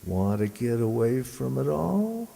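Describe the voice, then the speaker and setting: A man announcing an old-time radio thriller in a theatrical, ominous style with deliberate pauses. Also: mid-range voice, big pitch swings, creaky, dark tone, slight background noise. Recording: echoey hall, live stage with music. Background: dramatic music.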